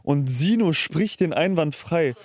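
A man's voice: a little high, expressive, unhurried, no room sound. A young man chatting casually as a podcast co-host, in colloquial, slangy youth speech. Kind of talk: casual chat.

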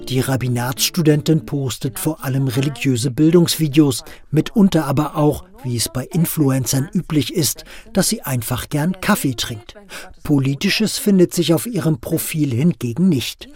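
A man narrating a radio news feature in a measured, scripted reporting style. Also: on the high side, soft background music. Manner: measured, even reporting delivery.

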